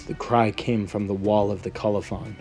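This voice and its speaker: A man's voice: fairly low pitch, flat delivery, normal speed, darkish tone. A man narrating a scripted fantasy story in a dramatic storytelling manner. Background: background music.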